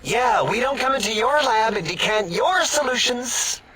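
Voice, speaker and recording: A man's voice: very high voice, lively intonation, normal speed, crowd noise, poor audio. A man voicing an awkward, easily flustered robot scientist in a comic video game, hesitant and rambling. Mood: flustered, defensive. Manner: hesitant, rambling, self-correcting.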